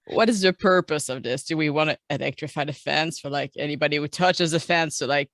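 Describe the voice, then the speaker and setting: A woman's voice: sits low, brisk, a bit bright, no echo, clean studio sound. A woman improvising casually as a player in a tabletop game.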